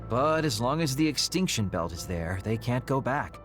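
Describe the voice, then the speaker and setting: Casting: male actor for cynical young game rebel, wry and blunt, mid-pitched with very lively intonation. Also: normal speed, faint music behind, lightly acted. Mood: scornful, explaining. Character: cynical young man, defiant insider, wry and guarded. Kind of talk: English video game dub acting.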